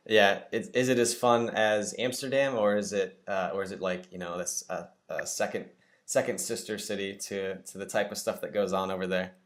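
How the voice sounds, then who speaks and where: Lower-voiced upbeat male podcast host. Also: steady tone, normal speed. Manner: upbeat, chatty storyteller. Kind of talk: casual podcast interview.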